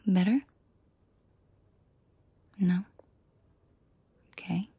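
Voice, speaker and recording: A woman playing a commanding, protective and seductive mafia boss in an intimate audio roleplay, speaking in a breathy, controlled murmur that often drops to a half-whisper. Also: lowish voice, steady tone, dark tone, no room sound, heavily acted. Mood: calm, reassuring. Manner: slow, deliberate, controlled murmur addressed closely to listener.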